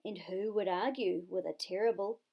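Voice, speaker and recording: A woman with a New Zealand accent reading a children's story expressively, with dramatic, drawn-out emphasis. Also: mid-range voice, fairly even intonation, a little mellow.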